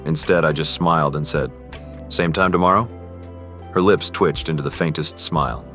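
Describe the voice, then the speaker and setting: A man's voice: extremely low pitch, steady tone, normal speed, fairly bright tone. A man playing an earnest, privileged teenage boy who confides and narrates in an amateur romance drama. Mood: reflective. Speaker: character and first-person narrator. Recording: music behind the voice.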